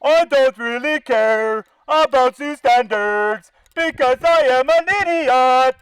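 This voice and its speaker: Canadian male activist, extremely high-pitched voice through a bullhorn, dry and echo-free outdoors. Confrontational, sarcastic, profane protest delivery. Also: takes it slowly, smooth. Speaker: animal-welfare activist. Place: outdoors by a zoo fence.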